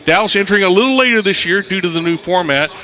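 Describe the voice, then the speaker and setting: A man's voice: high-pitched, expressive, quick pace, bright, forceful tone. A man hosting a radio soccer pregame show, reading the lineups and putting questions to his analysts. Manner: reads lineups, then poses questions to the analysts. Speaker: pregame host.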